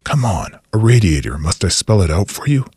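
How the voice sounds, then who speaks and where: A very husky, low-voiced old male scientist, performed by a male narrator in a sci-fi audiobook. He is impatient and condescending, giving curt orders as the one in command. The sound is close and dry. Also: normal speed, darkish tone, no room sound. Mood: irritated, condescending.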